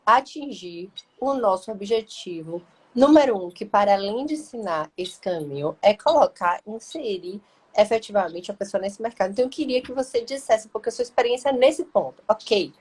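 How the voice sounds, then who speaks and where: A woman's voice: mid-range voice, animated, normal speed, darkish tone, slightly rough. A woman with a Bahian Brazilian accent hosting a live interview, chatty and affectionate, talking in long, winding sentences. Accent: Bahian Brazilian Portuguese. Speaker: lawyer and mentor hosting a live stream. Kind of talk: casual live-stream interview.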